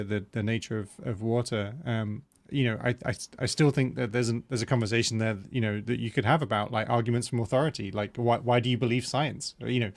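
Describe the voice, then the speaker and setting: Male podcast host, gravelly edge, mid-pitched, halting delivery with frequent word repeats. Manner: halting, repeats words while thinking aloud; laughs easily, reads out viewer comments. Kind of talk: casual livestream chat.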